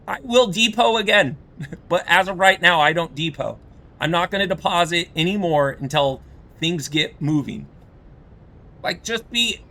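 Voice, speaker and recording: Hoarse, rambling male streamer. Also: sits high, bright, forceful tone. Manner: frustrated, rambling, many fillers. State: voice going hoarse. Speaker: game streamer. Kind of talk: casual stream talk.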